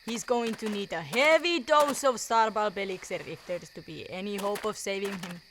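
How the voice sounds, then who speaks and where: A woman playing a grave doctor in a radio drama, measured and authoritative, over night ambience. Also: mid-range voice, noisy background, lightly acted.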